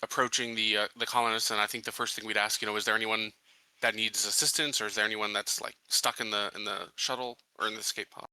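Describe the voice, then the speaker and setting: A man playing a tabletop roleplaying game, describing his moves casually in a tentative, halting way. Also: lowish voice, level tone, pushed and bright, clean tone, no echo, poor audio. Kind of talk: casual spoken game turns.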